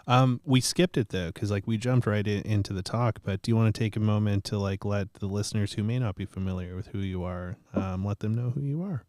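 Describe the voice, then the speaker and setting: A man hosting a casual chat, relaxed and joking with his guest. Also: fairly low pitch, fairly quick, few pauses, dry recording, clean studio sound. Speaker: host.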